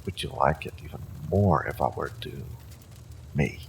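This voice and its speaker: An actor playing an evil male sorcerer, smugly polite and sadistic, in a slow, theatrical audio drama full of menace. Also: extremely low pitch, slight background noise. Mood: taunting menace. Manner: slow, deliberate, theatrical threatening delivery. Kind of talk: dramatic audio roleplay monologue.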